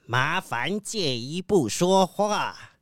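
Ponderously slow turtle captain. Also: male voice, on the high side, expressive, fairly bright tone, dry recording, studio quality. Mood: anxious, secretive. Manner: ponderous, drawn-out. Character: slow, worried male sea turtle captain. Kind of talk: children's audio drama acting.

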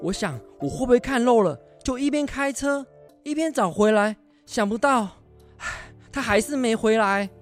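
A man's voice: very high voice, expressive, moderate pace, faint music behind. A man acting a worried, exasperated middle-aged father in a radio drama, with a Taiwanese accent and a fretful, scolding manner.

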